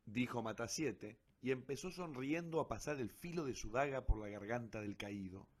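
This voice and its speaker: A male narrator with a mid-pitched voice tells the story of a radio drama. The delivery is scripted and dramatic. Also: moderate pace.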